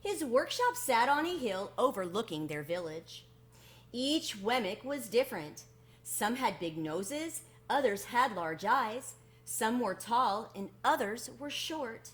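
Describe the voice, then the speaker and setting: A woman's voice: fairly high pitch, very animated, slow pace, fairly bright tone. Woman reading a children's storybook aloud. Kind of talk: children's picture book read-aloud.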